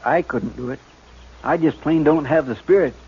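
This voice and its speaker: An actor playing an earnest, soft-hearted loyal deputy in a 1950s radio drama, stammering and deferential. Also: male voice, fairly high pitch, moderate pace, breathy edge. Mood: pleading. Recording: dull 1950s radio broadcast.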